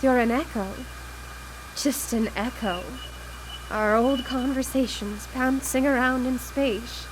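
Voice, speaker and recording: Radio drama casting: obsessive grieving widow, high-pitched, urgent and pleading. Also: female voice, slow. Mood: stunned, desperate. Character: grieving, obsessive widow desperate to reach her lost wife. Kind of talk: live radio drama acting. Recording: live broadcast with background noise.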